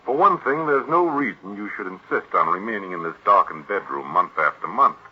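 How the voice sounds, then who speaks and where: An actor playing an earnest, formal family doctor in a 1940s radio drama, deferential but pleading. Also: male voice, on the low side, expressive, brisk, husky. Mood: urging, concerned. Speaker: physician. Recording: muffled vintage radio drama recording.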